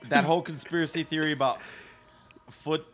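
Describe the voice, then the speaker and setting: A man's voice: on the high side, steady tone, a little fast, fairly bright tone. A young man in casual couch chat, explaining things in a matter-of-fact way. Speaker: gaming house guest. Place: living room couch with others.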